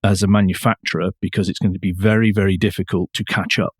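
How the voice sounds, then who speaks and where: A man's voice: low voice, level tone, quick pace, husky, no room sound, clean studio sound. A man co-hosting a podcast, giving conversational analysis of the news. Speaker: podcast co-host.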